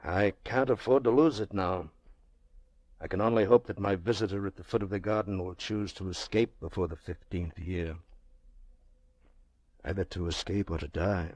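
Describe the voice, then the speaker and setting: An actor playing a wealthy, anxious, ruthless gambler in old radio drama dialogue, speaking with grave emphasis. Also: male voice, sits low, moderate pace, a little mellow, no echo. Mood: grim, desperate. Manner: grave, emphatic theatrical delivery.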